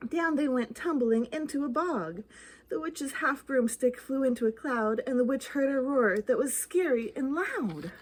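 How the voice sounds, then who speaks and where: A woman's voice: high voice, animated, a little slow. A woman reading a children's story aloud expressively, with dramatic, rhythmic emphasis on the rhymes. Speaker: library storytime reader.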